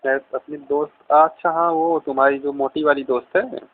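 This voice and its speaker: A man's voice: a little high, fairly even intonation, normal speed. Young man on a tinny phone line. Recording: tinny, band-limited phone call recording.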